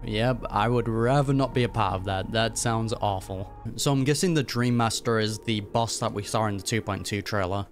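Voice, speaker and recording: A man's voice: lowish voice, moderate pace, hardly any pauses. Casual, slangy man reacting to a game. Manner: slangy, wry. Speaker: gaming reaction host. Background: game music.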